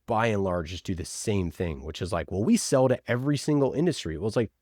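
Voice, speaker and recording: A man's voice: on the low side, lively intonation, fast talker, darkish tone, dry recording, studio quality. A man giving conversational marketing advice as an interview guest, informal, opinionated and emphatic, often voicing imagined quotes. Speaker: podcast producer, interview guest.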